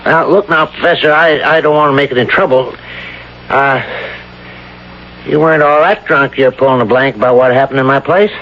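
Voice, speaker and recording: An American actor playing a rough, wary small-town bar owner in a vintage radio drama, with slangy, folksy, slightly hesitant delivery. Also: male voice, mid-range voice, normal speed, lightly acted. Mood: warning, insistent. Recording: dull lo-fi old radio broadcast, faint noise.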